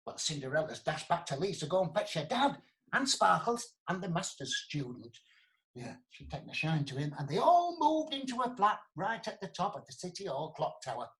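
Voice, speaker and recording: Radio play: playful pantomime storyteller, very expressive delivery aimed at children. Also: male voice, high-pitched, poor audio. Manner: playful, addressing children and audience. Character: cheery pantomime entertainer telling a comic fairy tale. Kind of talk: storytelling in a radio comedy drama.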